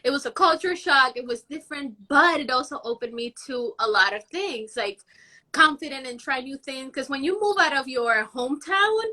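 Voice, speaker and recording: A woman's voice: high voice, expressive, normal speed, pushed and bright. A young woman from Puerto Rico, a guest in a casual interview, talking boldly and bluntly with easy self-assurance.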